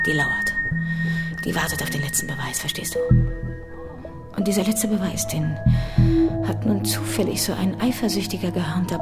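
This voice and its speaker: An actress playing a seductive, secretive informant in a radio drama, speaking in an intimate, coaxing and urgent manner. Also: female voice, sits low. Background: prominent music.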